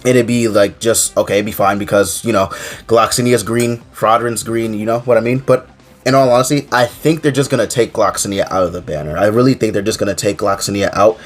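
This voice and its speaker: A man's voice: fairly low pitch, fairly even intonation, a little fast, soft background music. Stuffy-nosed young man talking games. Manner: rambling, filler-heavy, keeps saying "to be honest". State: stuffy nose from a cold. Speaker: mobile game YouTuber. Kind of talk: casual game commentary to camera.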